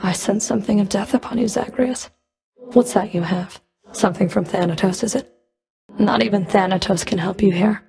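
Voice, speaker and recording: A woman's voice: mid-range voice, fairly even intonation, moderate pace, vocal fry, dark tone, natural voice. A woman playing a stern, scornful underworld fury in a video game, with a cold, sardonic, taunting delivery. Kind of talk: scripted video game character dialogue.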